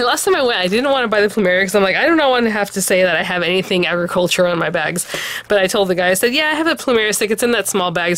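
A woman's voice: fairly low pitch, fairly bright tone. A woman vlogger from California chatting casually and eagerly while showing off her shopping haul at a craft table. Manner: chatty and enthusiastic, rambling with fillers and laughs. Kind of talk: casual show-and-tell haul chat.

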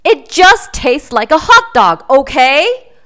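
Storybook pigeon, played by a woman: possessive, melodramatic, quick to exasperation, high-pitched, with drawn-out emphatic words. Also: very animated, bright, forceful tone, exaggerated voice. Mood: indignant. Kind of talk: children's storybook read-aloud.

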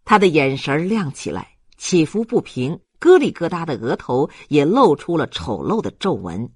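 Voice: mid-range voice, very animated, normal speed, husky, dry recording, studio quality. An audiobook narrator reading a novel in a scripted storytelling style.

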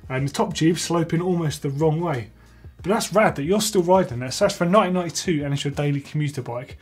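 A man's voice: on the high side, fast, breathy edge, faint music behind. A man in his thirties hosting a bike tech show, chatting enthusiastically as he reads out viewer entries in a workshop. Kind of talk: casual reading and reacting to viewer entries. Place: workshop with tools on the wall.

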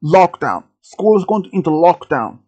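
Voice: medium pitch, sing-song, a little slow, a little mellow, slightly rough, muffled recording. A narrator playing an urgent, raised-voice school announcer. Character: urgent school loudspeaker announcer giving an alarm.

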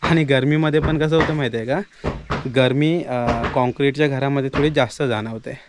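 A man's voice: mid-range voice, moderate pace, noisy background. A man in his thirties from the Konkan coast with a Konkani Marathi accent, chatting casually to viewers while holding a camera at arm's length outdoors in a village. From Konkan, Maharashtra, India. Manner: relaxed, chatty, addresses viewers directly. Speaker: village vlogger. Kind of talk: casual vlog narration to camera. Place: outdoors in a rural village yard. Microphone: handheld camera at arm's length.

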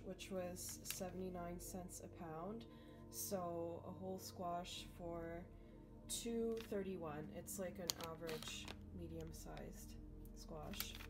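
A young woman, chatting casually through a grocery haul voice-over. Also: lowish voice. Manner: chatty, filler-heavy. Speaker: vlogger. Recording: loud background music. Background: music bed.

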